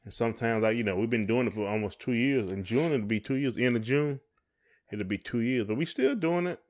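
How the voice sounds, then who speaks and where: A man's voice: medium pitch, fairly quick, a little mellow. A middle-aged man, chatting casually as a podcast co-host in a folksy, encouraging way.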